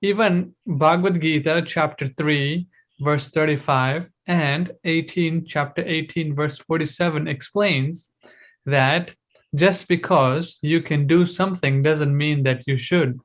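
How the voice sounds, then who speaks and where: Male host, slightly high voice, reads text aloud steadily on a livestream. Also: unhurried. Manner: brief agreeing replies, then reads text aloud steadily. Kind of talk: casual discussion and reading aloud.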